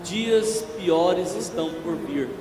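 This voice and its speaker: A hoarse man preaching a church sermon, slow and emphatic, growing more forceful as he goes. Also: sits high, over music. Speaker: evangelist preacher. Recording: echoey church with long reverb.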